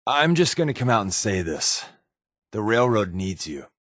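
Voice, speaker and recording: A man's voice: fairly low pitch, sing-song, moderate pace, fairly bright tone, dry recording, studio quality. A man playing a sly, wry undercover resistance agent in a video game, talking in a casual, glib, sardonic way. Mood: persuasive, wry. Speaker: video game companion character. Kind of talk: scripted game dialogue, conversational.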